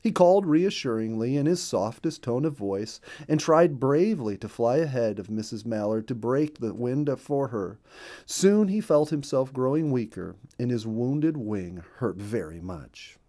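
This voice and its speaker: A man narrating a children's story as a storyteller, with emphatic, deliberate phrasing. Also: medium pitch, expressive, dark tone.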